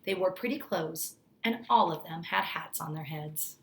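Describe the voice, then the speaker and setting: A woman reading a children's story aloud like a teacher, expressively, pausing to explain things to young listeners. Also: on the low side, fairly bright tone, a little hiss or hum. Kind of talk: children's story read-aloud with brief asides.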